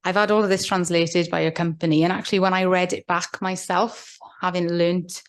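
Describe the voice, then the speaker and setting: A woman's voice: fairly low pitch, flat delivery, fairly quick. A woman from Wales, a fluent Welsh speaker, leading a workshop discussion in a chatty, encouraging way with many restarts. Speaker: workshop facilitator.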